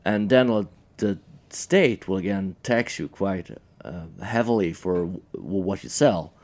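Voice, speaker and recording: Norwegian man, low voice, relaxed. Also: measured pace. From Norway. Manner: relaxed, self-joking, many fillers. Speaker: brewery sales manager guest. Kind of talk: casual radio interview.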